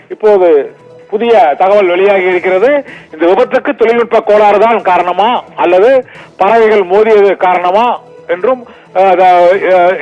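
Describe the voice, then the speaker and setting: A man presenting live breaking news in a halting, repetitive, improvised delivery. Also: high-pitched, darkish tone. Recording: dull lo-fi broadcast with music behind. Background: background music.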